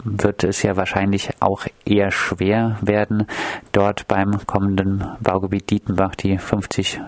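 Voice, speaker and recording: A man's voice: on the low side, a little mellow, dry recording. A man working as a radio interviewer, putting questions to a guest and presenting the programme.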